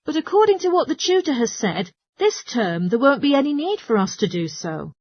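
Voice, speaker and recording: A woman's voice: sits high, big pitch swings, moderate pace, darkish tone, dry recording. A woman playing a helpful, studious geography student in a scripted listening-test dialogue. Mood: helpful, reassuring.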